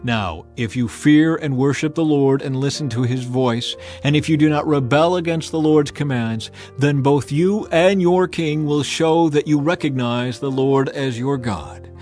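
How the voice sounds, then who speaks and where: A man's voice: on the high side, a little slow, few pauses, darkish tone, soft background music, close to an everyday voice. A man voicing a stern aged male prophet who addresses a nation in a solemn, admonishing way, in a dramatized scripture reading.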